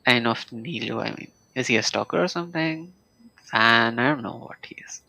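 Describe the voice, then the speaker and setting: A man's voice: medium pitch, normal speed, gravelly, noisy background. A man streaming a game live, reacting and musing aloud in casual commentary. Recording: game music behind the voice.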